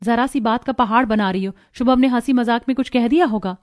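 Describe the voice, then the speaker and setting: A woman's voice: fairly high pitch, brisk, natural voice. A woman playing an older, practical and bossy household employer, giving instructions matter-of-factly in a moral story. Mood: dismissive. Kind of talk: dramatized Hindi moral story acting.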